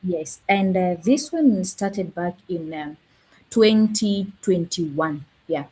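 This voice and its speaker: A woman from Kenya with a low, husky voice speaks as a guest in a live online conversation. She thinks aloud as she talks and pauses often to hunt for the right word. The sound comes over a video call. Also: animated, mellow, poor audio. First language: not Swahili. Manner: thoughtful, searches for words with many fillers. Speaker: guest language educator.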